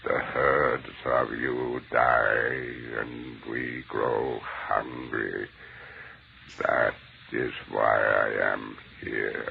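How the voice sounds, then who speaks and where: An actor in an old radio drama playing an ancient alien head, cold and contemptuous, speaking in grandiose menace. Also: male voice, rumbling low, little pitch movement, drawn-out words, heavily acted. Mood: hungry, menacing. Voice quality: gravelly. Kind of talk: old-time radio science fiction acting. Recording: tinny, noisy old radio recording.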